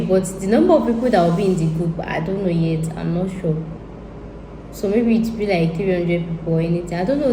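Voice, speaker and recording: A woman's voice: medium pitch, dark tone. Nigerian woman vlogger, thinks aloud and rambles, slightly roomy sound with faint hiss. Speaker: YouTuber. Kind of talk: casual monologue to viewers. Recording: echoey room with faint ambient noise.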